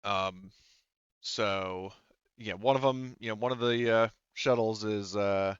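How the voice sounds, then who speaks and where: A man acts as game master for a tabletop game. His voice is on the low side and clean, and he feels his way haltingly through the narration. The recording is dry and a little dull. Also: fairly even intonation, clean tone, no room sound. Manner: halting, hedging.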